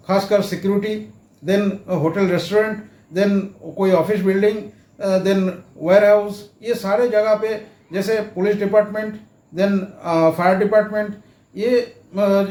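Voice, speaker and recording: Male how-to presenter, notably high voice, informal step-by-step delivery with repetition, recorded with roomy echo. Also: a little mellow. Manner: explains step by step, often repeating phrases. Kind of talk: informal explainer lesson. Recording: echoey room sound.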